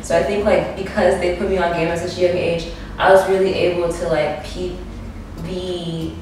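Candid young woman guest, fairly low voice, echoey room, off-mic. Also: little pitch movement, normal speed, a little mellow, distant mic, a little hiss or hum. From DMV area, US. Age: 20s. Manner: candid, talks with many likes and restarts. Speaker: podcast guest, model and DJ. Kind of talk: casual conversation. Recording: roomy echo, from off-mic.

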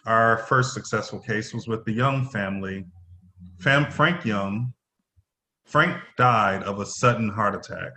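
A man's voice: on the low side, bright, forceful tone. A man playing a stern, echoey company executive. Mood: formal, explaining. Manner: halting, stumbling over phrases, formal. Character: stern, intimidating middle-aged male company executive. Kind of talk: play reading. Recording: roomy echoing voice.

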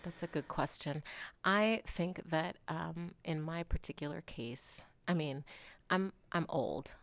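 A woman in her forties talking candidly as an interview guest, frank and animated, swearing casually and sometimes dropping into soft asides. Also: sits low, a little slow, dry recording. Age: 40s. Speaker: guest artist.

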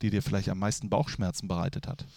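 A man's voice: low-pitched, fairly even intonation, fast, creaky, mellow, no echo, studio quality. A man interviewing a guest in a casual, jokey way, often correcting himself mid-sentence. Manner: jokey, chatty, self-correcting.

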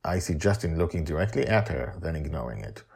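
A man narrating as author and host, expressive and theatrical, moving between storytelling and informal talk to listeners. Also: a bass voice, fast talker, gravelly, husky.

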